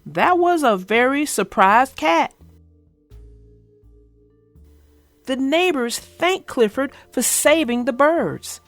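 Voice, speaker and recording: Storybook young girl played by a woman, earnest and expressive, high voice, dry sound, telling a children's tale. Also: slow pace, dry recording, soft background music, full character voice. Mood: earnest, hopeful. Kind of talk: children's storybook read-aloud.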